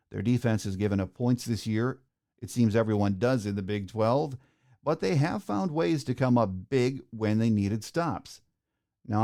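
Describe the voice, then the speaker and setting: A man's voice: fairly low pitch, mellow. A man hosting a sports podcast, reading a prepared opening and then interviewing his guest with easy fillers. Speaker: podcast host.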